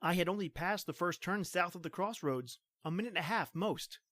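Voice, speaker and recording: An actor playing an earnest, insistent young trooper. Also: male voice, high-pitched, fairly quick, fairly bright tone, no echo, clean studio sound. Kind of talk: old-time radio drama reading.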